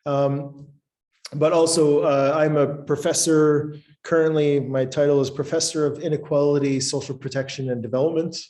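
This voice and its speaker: Hesitant Canadian professor presenting online. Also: male voice, fairly high pitch, little pitch movement, normal speed, dark tone. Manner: hesitant, many ums and restarts. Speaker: professor and programme convener. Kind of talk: webinar presentation. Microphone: video call.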